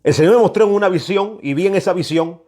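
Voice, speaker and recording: A man's voice: sits high, fast talker, a little mellow. A man preaching a prophetic message, emphatic and admonishing, often repeating his phrases for weight. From New York, United States. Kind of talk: prophetic sermon, exhortation.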